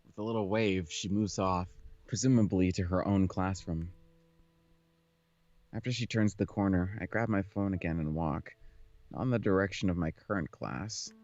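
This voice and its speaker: A man's voice: deep voice, normal speed, darkish tone, dull audio. A man hosting a game playthrough, reading visual novel narration aloud and chatting casually about it.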